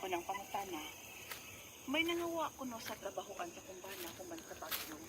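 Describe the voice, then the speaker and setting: A woman's voice: mid-range voice, sing-song, a little fast, noisy background, close to an everyday voice. A woman acting a worried wife who recounts her family's troubles in a radio drama, with a Cebuano Filipino accent. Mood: sorrowful, recounting.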